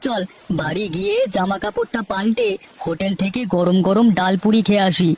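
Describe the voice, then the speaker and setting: A woman's voice: mid-range voice, sing-song, a little fast, darkish tone, slight background noise. A woman voicing a gossipy young village man in a cartoon. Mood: cheerful. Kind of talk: cartoon voice acting.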